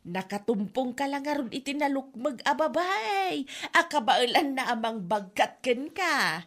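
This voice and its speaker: Woman playing a lively, teasing Ilocano gossip. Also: high-pitched, measured pace, exaggerated voice. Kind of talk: comedy drama podcast acting.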